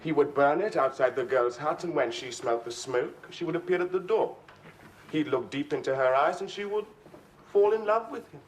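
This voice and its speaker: An actor playing a dry, mocking young lodger in a 1970s TV sitcom, with a deadpan, wry delivery. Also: male voice, fairly high pitch, normal speed, a little hiss or hum, lightly acted. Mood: solemn. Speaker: tenant. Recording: roomy, distant old TV audio with audience laughter.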